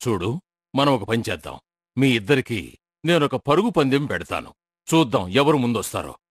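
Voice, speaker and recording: A man's voice: medium pitch, sing-song, normal speed, husky, no echo, clean studio sound. A man voicing a stern, sensible wolf in a children's cartoon story, speaking in a firm, admonishing way. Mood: proposing. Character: stern, sensible male wolf, fair-minded friend and referee. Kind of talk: children's animated story acting.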